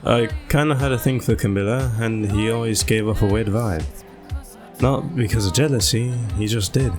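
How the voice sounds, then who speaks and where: A man's voice: on the low side, moderate pace, music in the background, lightly acted. A man playing a casual, wry cop in a game roleplay, talking in an easygoing conversational way. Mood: wry, flirty. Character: casual, wry male cop nursing a crush. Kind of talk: online game roleplay voice acting.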